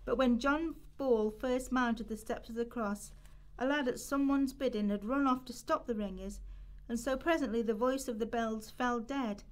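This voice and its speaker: A woman reading scripted literary narration as a storyteller in a radio play. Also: on the high side.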